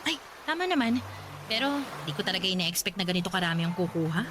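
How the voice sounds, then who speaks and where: A woman's voice: lowish voice, fast talker. An actress playing a young woman working at a call center, bantering in a radio drama. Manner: lively, bantering. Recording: radio drama with background noise.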